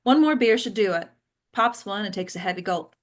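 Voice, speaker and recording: A woman's voice: mid-range voice, lively intonation, fairly quick. A woman reading stage directions aloud as the narrator at a script table read.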